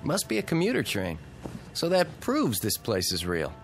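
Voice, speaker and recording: A man's voice: medium pitch, wildly swooping pitch, moderate pace, over music. An actor playing a breezy, wisecracking, stubbornly reassuring everyman in a radio drama, with a wry, confident, casual delivery.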